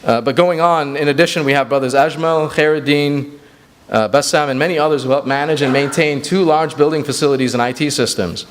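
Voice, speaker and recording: A man's voice: a little high, brisk, big-room sound, slight background noise. A man who grew up in Sri Lanka giving an earnest banquet speech as executive director, with frequent fillers and restarts. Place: large banquet hall.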